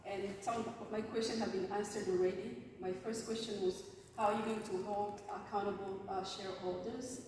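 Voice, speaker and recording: A woman's voice: mid-range voice, little pitch movement, normal speed, darkish tone. A woman from the Democratic Republic of the Congo asking her questions from the audience, one at a time and in order. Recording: echoey hall, distant mic, faint noise.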